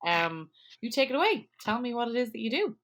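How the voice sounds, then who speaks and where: A woman's voice: fairly high pitch, very animated, fairly quick, fairly bright tone. A woman hosting a podcast and working as a memorial planner, chatty and self-deprecating as she talks with her guest. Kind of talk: podcast interview.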